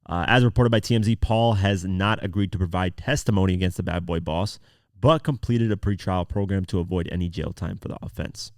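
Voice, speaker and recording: A man with a very low-pitched voice hosts a podcast, talking through the news in an informal way. His throat is sore, so the voice is not at full strength. The sound is close and dry, with very little room in it. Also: fairly quick, no room sound. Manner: informal, conversational, reads articles aloud then comments. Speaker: podcast host. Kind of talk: casual news commentary podcast.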